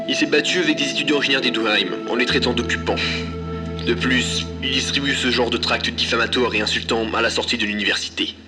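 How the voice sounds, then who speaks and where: A man playing a grave university official in an audio drama, speaking formally and with measured care. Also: mid-range voice, fairly even intonation, over loud music, natural voice. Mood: grave concern.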